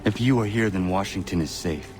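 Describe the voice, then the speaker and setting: An actor playing a stern, earnest young assassin in a video game, speaking in terse, formal sentences without contractions. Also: male voice, sits low, a little fast, a little mellow, soft background music, lightly acted. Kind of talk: video game cutscene acting.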